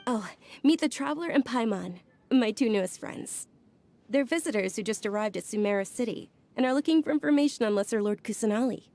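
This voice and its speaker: An actress voicing a gentle, devout young noblewoman in a video game, speaking softly, earnestly and politely. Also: on the high side, expressive, fairly quick. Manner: soft-spoken, earnest, polite. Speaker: noble lady. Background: game soundtrack music.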